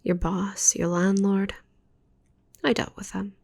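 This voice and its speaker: Possessive witch for ASMR roleplay, woman performer: slow, breathy whisper with creaky edges, doting yet menacing. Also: medium pitch, level tone, a little mellow, full character voice. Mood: dark, gloating. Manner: slow, intimate, cooing menace. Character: possessive, needy young witch doting on shrunken captive.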